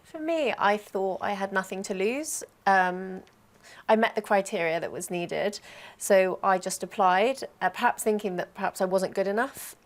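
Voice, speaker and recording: A woman's voice: medium pitch, moderate pace. A woman giving conversational interview answers as a trainee guest. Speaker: trainee air traffic controller.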